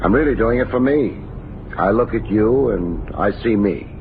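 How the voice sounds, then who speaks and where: An actor playing a wisecracking, hard-boiled private detective of about forty in an old radio drama, wry and clipped. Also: male voice, on the low side, expressive, normal speed, background noise, natural voice. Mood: wry narrating. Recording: dull old radio recording with music behind.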